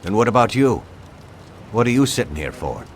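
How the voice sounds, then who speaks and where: Sarcastic, indignant lawman, animated delivery. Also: on the low side, a little fast, slight background noise. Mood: indignant, accusing. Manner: sarcastic, accusing. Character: indignant, scornful lawman, morally outraged. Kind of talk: video game voice acting.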